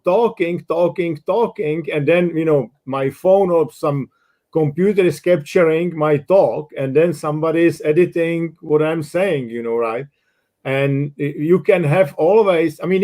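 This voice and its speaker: A man's voice: a little high, a little mellow. A man in his fifties with a Czech accent, a non-native English speaker, co-hosting a casual live chat and taking questions, with lots of "you know" and "right". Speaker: co-host.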